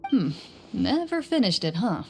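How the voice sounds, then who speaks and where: A composed swordswoman mentor from a video game, speaks in a measured, earnest way. Her pitch sits mid-range for a woman, stern but calm, with music underneath. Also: vocal fry. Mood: calm, encouraging. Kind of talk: scripted video game voice acting.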